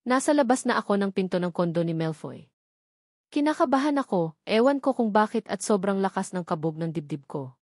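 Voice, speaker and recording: A woman's voice: mid-range voice, animated, a little fast, a little mellow, no room sound, studio quality. A woman reading a romance novel aloud as a dramatic first-person narration. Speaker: audiobook narrator.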